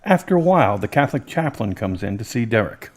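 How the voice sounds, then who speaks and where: Steady male drama narrator. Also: lowish voice, quick pace. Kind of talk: scripted audio drama narration.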